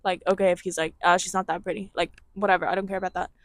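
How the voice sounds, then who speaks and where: A young woman with a slight Irish accent, co-hosting a podcast and telling a gossip story in a casual, animated way, swearing and acting out quotes. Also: lowish voice, racing, no echo. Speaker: podcast co-host.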